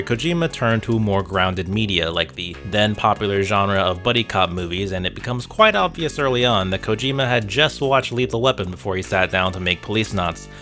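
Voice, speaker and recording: A man's voice: on the low side, sharp tone. A man narrating a scripted video essay in a dry, wry manner. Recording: music bed behind voice. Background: background music with guitar.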